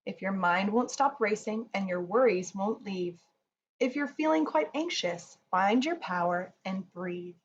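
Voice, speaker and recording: A woman speaking to children in a gentle, measured, soothing way, as in a read-aloud or guided relaxation. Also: mid-range voice, lively intonation. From Canada. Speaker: children's yoga teacher reading and guiding relaxation. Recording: slightly dull sound, faint noise.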